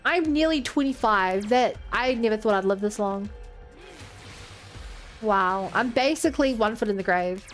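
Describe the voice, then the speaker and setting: A woman's voice: mid-range voice, a little slow, soft background music. A woman in her twenties streaming a game, rambling and joking as she talks to her chat. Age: 20s. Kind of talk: casual gameplay commentary.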